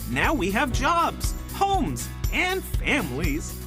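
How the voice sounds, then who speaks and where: Lively chipper robot narrator over music. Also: female voice, on the high side, slow, full character voice. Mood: chipper, salesy. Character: chipper suburban robot narrator of a reality show. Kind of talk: scripted sci-fi comedy audio drama promo.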